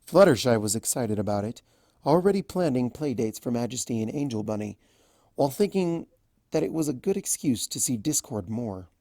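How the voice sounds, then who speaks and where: A storyteller reading scripted fiction aloud as an expressive narrator. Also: mid-range voice, normal speed, no echo, studio quality.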